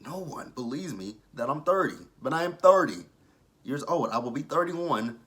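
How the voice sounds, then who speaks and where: Blunt, forceful thirty-year-old man. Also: on the high side, animated, moderate pace. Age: 30s. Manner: blunt, opinionated, swears freely. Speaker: TV show reviewer. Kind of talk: casual review vlog commentary.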